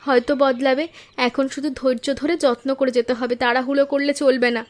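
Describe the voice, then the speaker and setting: Cartoon big sister, female actor: high-pitched, quick delivery, caring, sensible, reassuring. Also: little pitch movement, lightly acted. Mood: practical, reassuring. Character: patient, caring elder sister, poor flower seller. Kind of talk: Bengali cartoon moral-story dialogue.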